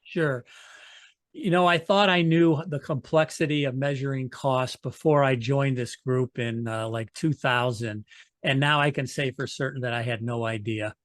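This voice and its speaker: A man's voice: medium pitch, steady tone, normal speed, fairly bright tone, dry recording, clean studio sound. A man serving as patient co-chair, giving reflective, earnest opening remarks on a video call.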